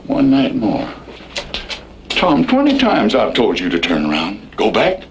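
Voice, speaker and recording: An actor playing a folksy, fretful Western old-timer in a radio drama, with a gravelly drawl and an admonishing, pleading manner. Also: male voice, lowish voice, wildly swooping pitch, a little slow, exaggerated voice. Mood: exasperated, grim. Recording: faintly noisy old radio recording.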